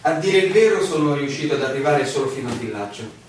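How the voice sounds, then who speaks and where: An actor playing a weary, bewildered male stranger telling his story with deliberate theatrical emphasis in a radio play. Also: medium pitch, expressive, close to an everyday voice. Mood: puzzled. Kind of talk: theatrical radio drama monologue. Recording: very echoey, distant, noisy radio play recording.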